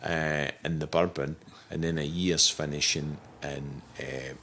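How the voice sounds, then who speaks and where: A husky middle-aged man, a distillery manager, talking thoughtfully in a relaxed interview with frequent restarts. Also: very deep voice, slight background noise. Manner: thoughtful, halting, many restarts. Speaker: distillery manager, podcast guest. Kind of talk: relaxed interview talk about whisky making.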